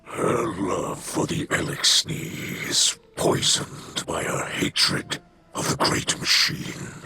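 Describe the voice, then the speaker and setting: An actor playing a weary, noble alien leader in a video game, delivering formal and mournful dramatic oratory in a measured way. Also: male voice, pushed and bright. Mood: mournful, bitter. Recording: dramatic music under the voice. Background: music.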